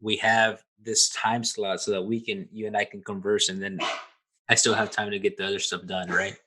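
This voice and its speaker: A man's voice: lowish voice, little pitch movement, normal speed, pushed and bright, husky. A man hosting a podcast interview, stammering and repeating words as he starts his sentences. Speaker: podcast host.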